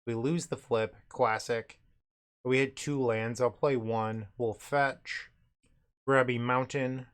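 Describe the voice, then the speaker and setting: A man's voice: mid-range voice, fairly even intonation, slow pace, smooth. A man hosting casual card game commentary, his voice a bit cracky and unsteady as he recovers from being sick. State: recovering from illness, voice cracks and cuts in and out. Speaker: host, card game streamer.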